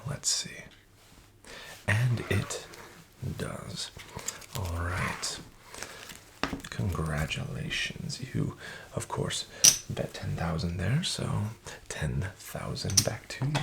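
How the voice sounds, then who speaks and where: A man playing a smooth-talking, falsely friendly casino dealer, soft-spoken and half-whispered. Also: deep voice, exaggerated voice. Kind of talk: soft-spoken ASMR roleplay. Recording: audible background noise with card shuffling.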